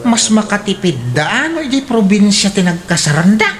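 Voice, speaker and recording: A woman playing a thrifty, gossipy wedding guest in a radio drama, with an expressive Ilocano-accented delivery. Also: medium pitch, normal speed, a crowd in the background, lightly acted.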